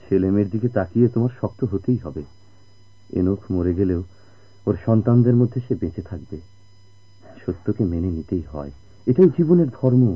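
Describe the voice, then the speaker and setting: A man with a Bangladeshi accent playing a kind, patient, devoted family friend in a radio drama, speaking earnestly in formal, measured diction. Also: on the low side, expressive. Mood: grave, urging. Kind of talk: Bengali radio drama acting. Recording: muffled old radio drama recording.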